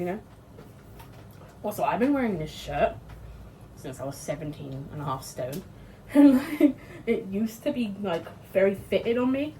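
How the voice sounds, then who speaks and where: A chatty British woman talks casually while cooking in her home kitchen, swearing freely and laughing often. Her voice is congested and sniffly, at a middle pitch. There is a faint hum of room ambience behind her. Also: darkish tone, poor audio. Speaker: vlogger.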